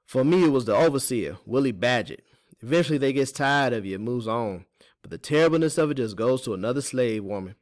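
Guy doing older enslaved woman in a storybook, higher pitched, gentle wise folksy herbalist. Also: expressive, a little fast, close to an everyday voice. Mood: sympathetic.

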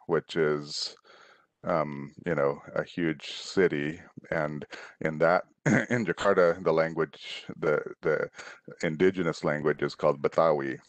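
A middle-aged man from Indonesia, an author on a video call, answering children's questions in a relaxed, rambling way with many restarts. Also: a bass voice, measured pace, no room sound. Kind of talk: casual conversational answers. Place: room at home, on a video call. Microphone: headset.

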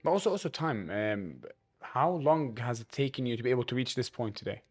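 A man's voice: medium pitch, animated, fairly quick, breathy edge, faint music behind. A man in his thirties co-hosts an interview at a studio microphone. His questions run long, with repeated words and restarts as he works toward his point. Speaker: podcast co-host. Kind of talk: casual interview talk. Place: podcast studio. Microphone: studio mic on stand.

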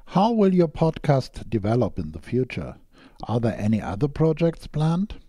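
A man's voice: medium pitch, wildly swooping pitch, normal speed, warm tone, no echo, studio quality. A man working as a podcast interviewer, speaking in prepared questions and remarks. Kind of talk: scripted interview questions and sign-off.

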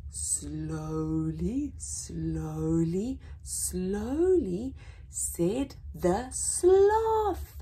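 Animated young woman, slow storytime reading. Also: medium pitch, husky. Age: young adult. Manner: animated, deliberate, drawn-out emphasis. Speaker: children's story time host. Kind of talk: read-aloud storytelling for young children. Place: small indoor room with painted backdrop.

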